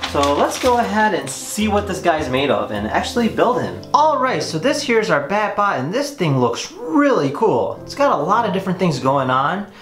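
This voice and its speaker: A man's voice: high-pitched, big pitch swings, normal speed, hardly any pauses, a bit bright. A man in his twenties hosting a toy unboxing and demonstration in an enthusiastic, casual way. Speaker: toy review host. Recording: roomy echo, music behind. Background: clear background music.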